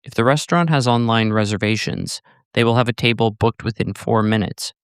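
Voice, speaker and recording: A man narrating a scripted video essay in a measured, deliberate way with short, emphatic phrases. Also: fairly low pitch, clean tone, no echo, studio quality.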